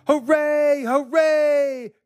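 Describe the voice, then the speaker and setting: Small toy clown for a storybook, played by a man: raised pitch, eager, hopeful, calling out. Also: lively intonation, moderate pace, no echo, studio quality. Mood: jubilant. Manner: crying out, eager, pleading.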